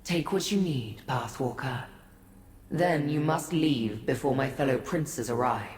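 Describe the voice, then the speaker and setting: Low, slow, echoing otherworldly goddess. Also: female voice, darkish tone. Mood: regal, measured. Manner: measured, formal, grave. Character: solemn, weary, otherworldly female deity, regal and mysterious. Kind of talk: scripted fantasy game dialogue. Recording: echoey reverb, faint noise.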